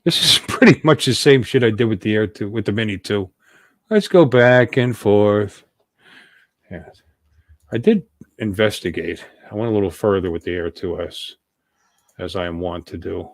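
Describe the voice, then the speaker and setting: A middle-aged man chatting as a livestream host, with a very lively, swooping delivery. He rambles playfully and jokes around casually, and the sound is close and dry. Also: on the low side, normal speed, dry recording. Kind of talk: casual joking livestream chat.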